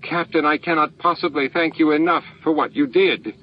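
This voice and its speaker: An earnest, resolute alien of the hive, played by a male reader, speaks formally in a science fiction audiobook. His voice is raised in pitch, on a dull recording with faint noise. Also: fairly even intonation, moderate pace, natural voice. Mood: earnest gratitude. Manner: formal, emphatic speech. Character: grateful, earnest alien hive master, shaken but resolute. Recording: dull, noisy lo-fi book-on-tape recording.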